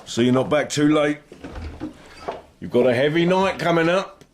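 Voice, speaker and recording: A male actor plays a domineering working-class husband in an audio drama. His speech is coarse and menacing, with a very lively pitch. Some faint noise sits behind the voice. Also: normal speed, close to an everyday voice. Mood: gruff, demanding. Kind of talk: radio drama acting.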